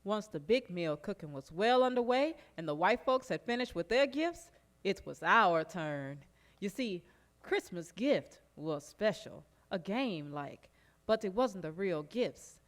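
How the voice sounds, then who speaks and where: A lively plantation servant in a theatrical folk monologue. The telling is sly, fond and animated, with folksy turns of phrase and a medium-pitched voice. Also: female voice, unhurried. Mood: fond, wry. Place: live stage performance in a recreation hall.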